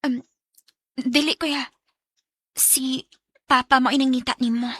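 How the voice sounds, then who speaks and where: Very bright, high-voiced Bisaya little sister. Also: female voice, little pitch movement, takes it slowly, husky, no echo, exaggerated voice. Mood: pleading. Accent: Filipino Bisaya. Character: young younger sister, polite, earnest, pleading. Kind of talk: radio drama acting.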